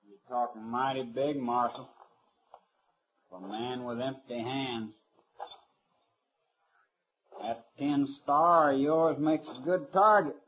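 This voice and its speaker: An actor playing a cocky, menacing young cowboy gunslinger in 1950s radio western style, with a taunting drawl. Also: male voice, medium pitch, takes it slowly, long pauses, fairly bright tone, slight background noise, poor audio.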